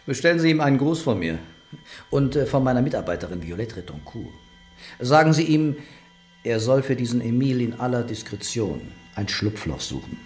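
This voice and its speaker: Male actor, wry investigator, medium pitch, measured, understated, radio drama, faint music. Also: very animated, a little mellow, close to an everyday voice. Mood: discreet. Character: calm, wry, unhurried male police investigator.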